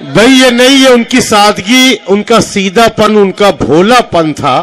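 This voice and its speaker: Man, high voice, formal stage host, rousing calls for applause. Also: slow pace, a bit bright. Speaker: host of the poetry evening. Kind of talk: stage announcing.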